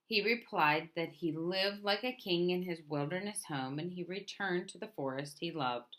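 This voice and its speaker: A woman reading a picture book aloud as a storyteller, in a deliberate manner with emphatic openings to her phrases. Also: fairly low pitch, a bit bright, rough recording. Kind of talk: scripted picture book narration.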